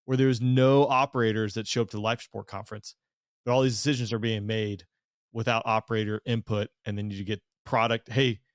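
A man's voice: on the low side, flat delivery, fairly quick, no room sound. Dry-sounding male podcast host. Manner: rambling, lots of fillers and self-corrections. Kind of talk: casual podcast chat.

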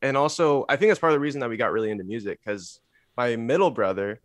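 A man's voice: mid-range voice, quick pace, dry recording. Rambly young man from the Bay Area. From San Francisco Bay Area, USA. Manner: rambling, full of "like" and "you know". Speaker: guest musician. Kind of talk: casual podcast chat.

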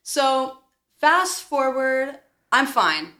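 A young adult woman with an American accent, co-hosting a podcast in casual, animated storytelling with blunt, jokey, profanity-laden talk. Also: high voice, extremely slow. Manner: foul-mouthed, blunt, jokey. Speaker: podcast co-host.

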